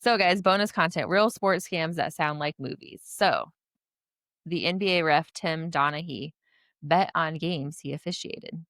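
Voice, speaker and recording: Gravelly-voiced woman, chatty podcast cohost, laughs a lot, dry close mic. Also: low-pitched, unhurried, a bit bright, dry recording. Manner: chatty, laughs often, trails off. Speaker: podcast co-host. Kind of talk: casual chat.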